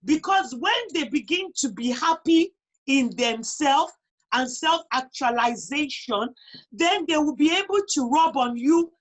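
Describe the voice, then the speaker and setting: A woman's voice: high voice, animated, a little slow, rough recording. A woman with a Nigerian accent speaking emphatically as a talk show guest, repeating phrases to drive her points home. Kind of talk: conversational interview, personal advice.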